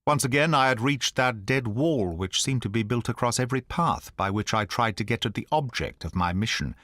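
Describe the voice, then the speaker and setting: A man narrating an audiobook in the first person, at a measured, even storytelling pace. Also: mid-range voice, dry recording, studio quality.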